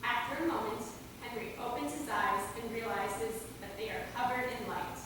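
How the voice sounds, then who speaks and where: A woman reads stage directions as a narrator, from far off in a long-reverb hall. Her voice is fairly high, with clear noise around it. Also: moderate pace. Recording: echoey hall, distant mic, noisy.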